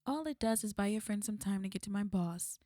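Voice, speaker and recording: A woman playing a smug, menacing villain in an ASMR roleplay, taunting and threatening in a cool, unhurried way. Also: medium pitch, dark tone, dry recording, clean studio sound, close to an everyday voice. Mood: firm, coaxing. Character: smug, menacing female villain, fond of an enemy.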